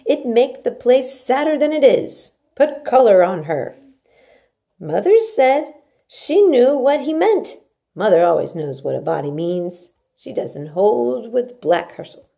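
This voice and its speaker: A young Yorkshire housemaid played by a woman reading a storybook, good-natured and sturdy. She talks in a homely, familiar way, pitched up and lively, with some creak in the voice. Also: measured pace, full character voice. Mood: matter-of-fact.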